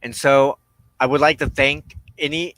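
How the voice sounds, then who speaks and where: A man hosting a personal spiritual talk, speaking in deliberate, halting phrases with firm emphasis. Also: fairly high pitch, level tone, a bit bright, no echo, poor audio. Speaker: host of a weekly witchcraft broadcast.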